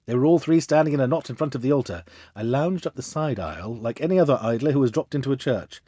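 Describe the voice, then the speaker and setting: A man giving an expressive, theatrical reading of a classic detective story as an audiobook. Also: mid-range voice, quick pace, no room sound. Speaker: audiobook reader. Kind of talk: dramatic storybook narration of a classic detective tale.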